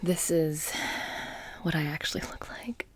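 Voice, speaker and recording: A low, breathy witch, played by a woman, keeps a haunted shop in this soft-spoken ASMR roleplay. She talks very slowly and coaxingly, slipping in and out of whispers with a sly, mysterious air. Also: steady tone, dry recording. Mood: mysterious, haughty. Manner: slow, hushed, slipping in and out of whispers.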